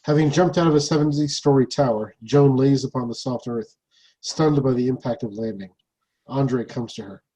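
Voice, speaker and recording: Mellow, husky man narrating. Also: mid-range voice, normal speed, poor audio. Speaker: stage-directions reader. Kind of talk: scripted narration.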